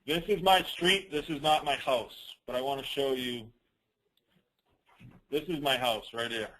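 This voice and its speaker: A man presenting a business training call as an informal, rambling software demo, with teasing, self-deprecating asides. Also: medium pitch, unhurried, rough recording.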